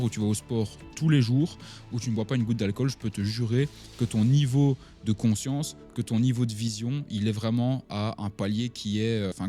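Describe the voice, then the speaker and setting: A young man in his twenties speaks with a Belgian French accent and a mellow, warm tone. He talks straight to the listener in a chatty, casual way, full of fillers. Faint music plays underneath. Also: mid-range voice. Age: 20s. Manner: chatty, addresses the listener directly, many fillers. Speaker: online entrepreneur and vlogger. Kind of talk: casual talk to camera.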